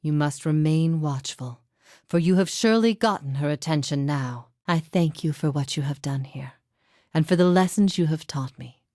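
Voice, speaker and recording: An actress playing a grave, steadfast heretic prophet in a fantasy video game, speaking in a solemn, measured, formal way. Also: female voice, low-pitched, dark tone, lightly acted. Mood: solemn, resigned. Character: grave, steadfast female heretic prophet haunted by past lives. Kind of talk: dramatic fantasy game voice acting.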